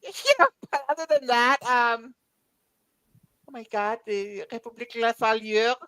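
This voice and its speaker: Higher-voiced woman with Southern Mississippi accent. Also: unhurried, a bit bright, clear, resonant tone, dry recording, low-quality recording. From Mississippi, United States. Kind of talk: casual chat.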